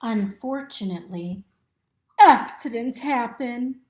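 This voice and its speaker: A woman reading a picture book aloud to young children in an expressive, instructive way, pausing to ask them simple questions. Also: a little high. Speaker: storybook reader. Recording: roomy, echoey indoor recording.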